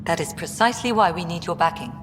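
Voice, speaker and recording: Actress as firm, low-voiced woman leader. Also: animated, lightly acted. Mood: assertive. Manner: formal, brisk, assertive. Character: firm, blunt female colonial leader. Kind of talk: video game dialogue. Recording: noisy, echoing hall, ambient sound.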